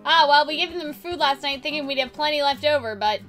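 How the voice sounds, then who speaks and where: A woman voicing a bossy, teasing big sister in a visual novel, brisk and assertive. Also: sits high, fairly bright tone, soft background music. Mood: cheerful.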